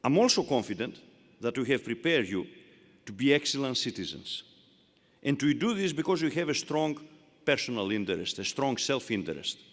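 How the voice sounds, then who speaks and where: A man's voice: medium pitch, very animated, normal speed. A man with a Greek accent giving a formal commencement address from a podium, building to emphatic, repeated rhetorical phrases. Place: large indoor ceremony hall. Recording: long reverb of a large hall.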